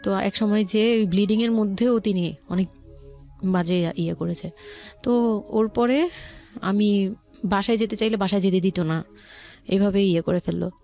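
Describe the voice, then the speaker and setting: Bangladeshi woman, halting storyteller over music. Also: medium pitch, a little mellow. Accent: Bangladeshi, Dhaka. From Old Dhaka, Bangladesh. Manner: halting, explanatory, often rephrases herself. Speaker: guest telling her life story. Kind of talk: personal storytelling in conversation. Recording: music playing behind the voice.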